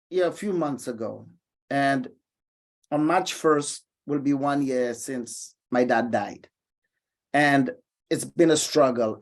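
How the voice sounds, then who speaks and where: A man steps up at a poetry reading to share a poem he wrote himself. He talks at an unhurried, measured pace, with a heartfelt, soft-spoken manner. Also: mid-range voice. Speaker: poet reading his work.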